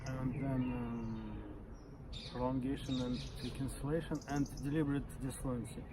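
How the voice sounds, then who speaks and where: A man's voice: medium pitch, fairly even intonation, moderate pace. Russian-accented man who stutters, outdoors. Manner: stutters with blocks and repetitions. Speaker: interview guest. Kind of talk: casual conversation. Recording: noisy outdoor recording with birdsong.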